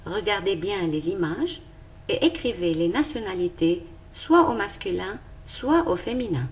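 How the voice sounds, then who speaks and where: A woman teacher, giving a careful, clearly spoken lesson to young pupils. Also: medium pitch, expressive. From Lebanon. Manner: slow, careful and clearly articulated.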